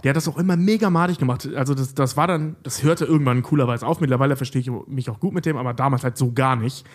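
Quick-spoken man telling a story. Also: mid-range voice. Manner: candid storytelling. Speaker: podcast co-host. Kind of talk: casual podcast chat.

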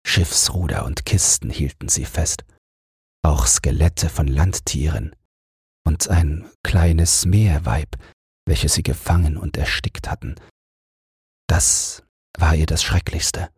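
A man reading a fairy tale as a calm bedtime audiobook narrator. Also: rumbling low, takes it slowly, slightly rough, no room sound, clean studio sound.

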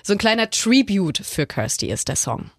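A woman's voice: medium pitch, sing-song, moderate pace, gravelly, no echo. A woman presents a music show. Her delivery is conversational and explanatory, partly scripted. The sound is dry, with hardly any room around the voice. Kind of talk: semi-scripted music storytelling.

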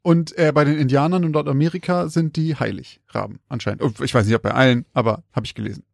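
Fast-talking man in dry, close sound. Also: mid-range voice, steady tone, creaky, a little mellow, no echo. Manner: colloquial storytelling. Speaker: podcast co-host. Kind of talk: casual podcast chat.

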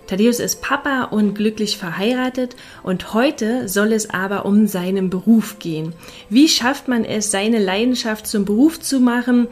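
A woman's voice: medium pitch, normal speed, soft background music. A woman podcast host speaking in a scripted, presenting style with interview questions.

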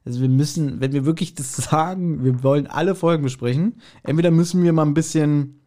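A man's voice: fairly high pitch, a little fast, dark tone. A man chatting casually as a podcast co-host, wry and teasing. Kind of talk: casual chat.

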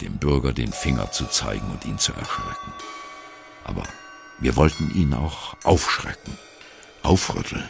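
An actor playing an aging, gruff free jazz musician in an audio drama monologue, blunt, wry and reflective, with a gravelly voice. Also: male voice, extremely low pitch, full character voice. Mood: reflective, weary. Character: aging male free jazz veteran, gruff, irreverent, wistful.

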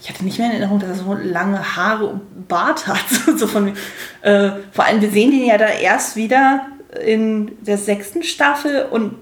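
A woman's voice: mid-range voice, steady tone, moderate pace, echoey room. A woman in her thirties co-hosting a podcast, chatting casually with an amused tone. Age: 30s. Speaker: podcast co-host. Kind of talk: casual rewatch podcast chat.

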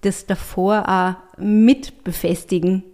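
A woman writer with an Austrian German accent, talking thoughtfully in an interview and often pausing to search for words. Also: mid-range voice, fairly even intonation, dark tone. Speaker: writer and artist, guest. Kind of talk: conversational interview.

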